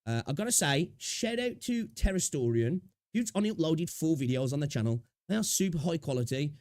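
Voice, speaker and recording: A man's voice: a little high, expressive, fairly quick, a little mellow, no room sound, studio quality. A young man chatting casually on a live stream, a little sniffly with a sore throat, rambling and greeting viewers. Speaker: streamer reacting to videos.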